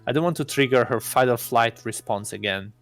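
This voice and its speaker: A man's voice: mid-range voice, fairly quick, a bit bright, faint music behind, close to an everyday voice. A man voicing an arrogant god posing as an exchange student, pompous and disdainful, in a visual novel. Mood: brooding. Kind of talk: visual novel read-aloud.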